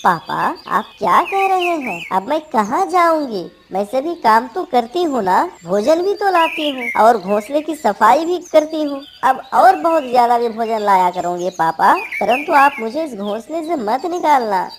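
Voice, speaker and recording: A woman's voice: high-pitched, sing-song, normal speed, hardly any pauses, slight background noise. A woman playing a pleading, dutiful young female bird in a children's cartoon. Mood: hurt, pleading. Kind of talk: animated children's story voice acting.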